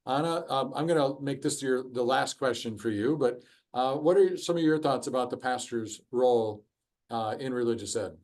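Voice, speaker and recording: A man's voice: medium pitch, moderate pace, a little mellow. A man moderating an online course discussion, hesitant, restating what others say with many fillers. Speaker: course facilitator.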